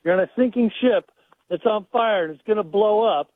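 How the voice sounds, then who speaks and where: A male caller with a high voice speaks over a tinny phone line. He is blunt and rambling, with a joking edge. The sound is dry. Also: brisk, no echo.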